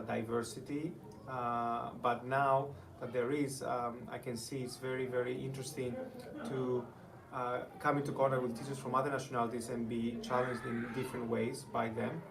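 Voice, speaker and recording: A man from Greece with a Greek accent gives an informal testimonial talk as a teacher, speaking haltingly with frequent pauses. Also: medium pitch. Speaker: high school and middle school teacher. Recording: distant mic with clear background noise.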